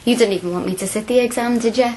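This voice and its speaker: A woman's voice: on the high side, quick pace, a little mellow, slight background noise, close to an everyday voice, rough recording. A woman playing a frank, earnest young working-class student in a radio drama, plain-spoken and colloquial. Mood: earnest, reflective.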